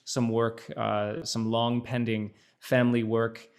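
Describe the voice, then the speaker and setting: A man's voice: medium pitch, level tone, takes it slowly. Thoughtful man talking over a video call. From Netherlands, by his mother. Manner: thoughtful, many fillers and restarts. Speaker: actor, podcast guest.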